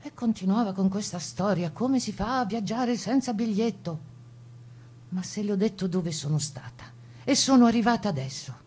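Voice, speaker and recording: A woman's voice: medium pitch, normal speed, darkish tone, slightly rough, lightly acted. An actress playing a proud woman partisan, recounting her wartime memories as emphatic theatrical testimony. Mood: insistent. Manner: emphatic storytelling, acting out quoted exchanges. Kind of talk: theatrical first-person testimony monologue.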